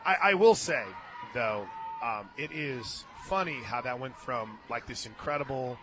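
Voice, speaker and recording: An American man doing live play-by-play radio commentary on softball, chatting between the calls. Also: medium pitch, unhurried, background noise. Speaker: play-by-play announcer. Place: outdoor stadium radio booth.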